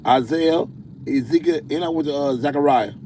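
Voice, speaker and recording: A man with a deep voice preaching on a livestream. He reads scripture slowly, stumbling and repeating words. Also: mellow, slight background noise. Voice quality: self-described deep voice. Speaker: self-styled prophet hosting a livestream.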